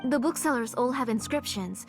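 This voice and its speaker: A fairly high, composed voice of a middle-aged mother, acted by a woman for a video game. She is polite yet guarded and controlling, with a firm delivery. Music sits faintly in the background. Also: normal speed, close to an everyday voice. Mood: defensive.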